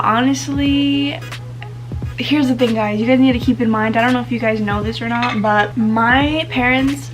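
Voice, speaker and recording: Chatty teen girl vlogger. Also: fairly high pitch. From United States. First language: Spanish. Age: teens. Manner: chatty, many fillers like um and like. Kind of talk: casual chat to camera.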